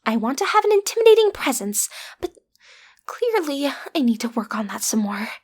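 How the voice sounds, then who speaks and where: A woman's voice: high-pitched, sing-song, moderate pace, a little mellow, breathy edge, heavily acted. A woman playing a cute, easily flustered teenage villain's daughter in an audio roleplay, stammering earnestly as she tries to seem intimidating. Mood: indignant pout.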